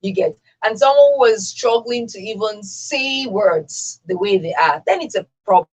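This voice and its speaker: A woman's voice: mid-range voice, expressive, a little slow, darkish tone, husky, poor audio. A Nigerian woman hosting a radio talk discussion, speaking emphatically and with strong opinions, repeating phrases to drive her points home. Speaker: radio show host.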